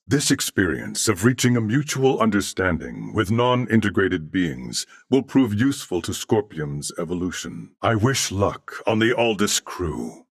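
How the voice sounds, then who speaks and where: An actor voicing a calm, courteous cybernetic alien in a video game, with a deep, gravelly voice and formal, measured, precise diction. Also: male voice, dry recording, clean studio sound, full character voice. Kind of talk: dramatic video game voice acting.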